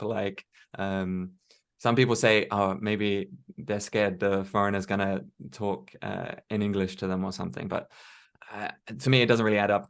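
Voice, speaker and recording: Low, flat-voiced man on a call. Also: creaky, clear, resonant tone. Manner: hesitant, many fillers and restarts. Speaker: interview guest. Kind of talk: casual interview chat. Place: home in Tokyo.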